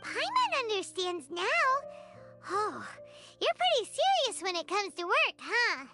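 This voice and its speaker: A woman's voice: extremely high pitch, sing-song, a little slow, full character voice. A woman voicing a tiny, bubbly female fairy-like companion who talks about herself in the third person, in a childlike way. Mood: curious. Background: soft game music.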